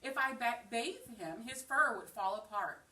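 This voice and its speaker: A woman's voice: on the high side, expressive, a little slow, sharp tone. A woman voicing an earnest young girl in a storybook who is protective of her pet. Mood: proud, eager. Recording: roomy, distant mic, faint noise.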